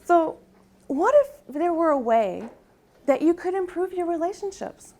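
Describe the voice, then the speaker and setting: A soft-spoken middle-aged woman giving a conference presentation, leading an audience exercise and asking "right?" often. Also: very high-pitched, expressive, a little slow. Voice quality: soft-spoken, tries to project. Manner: uses frequent rhetorical "right?" tags.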